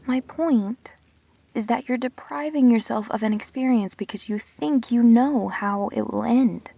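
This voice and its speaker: A woman acting an earnest, guarded immortal best friend in an audio drama, speaking in measured, reflective phrases with pauses. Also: fairly high pitch, creaky, mellow, slightly rough, no echo, full character voice. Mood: earnest, persuasive.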